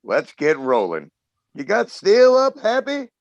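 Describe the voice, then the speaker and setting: A man's voice: high-pitched, huge pitch swings, a little slow, gravelly, dry recording, dull audio, full character voice. A man playing a brusque, impatient oil crew boss in an old-time radio drama, bossy and blunt in colloquial talk.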